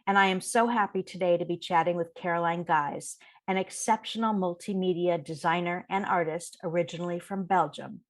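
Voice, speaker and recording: A woman's voice: fairly low pitch, fairly even intonation, a little fast, hardly any pauses. A woman hosting a podcast, reading an introduction and then chatting with her guest in an upbeat way. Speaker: podcast host. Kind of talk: scripted intro then interview chat.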